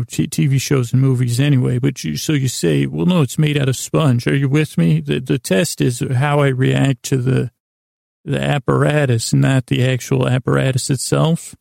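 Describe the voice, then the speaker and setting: A man with a very creaky, gravelly voice and a dark, mellow tone, recorded close and dry. He rambles through an improvised sleep podcast monologue, halting, doubling back and musing on small absurdities. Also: medium pitch, no room sound. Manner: meandering, halting, often trails off and restarts; gently absurd, whimsical musing. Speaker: podcast host.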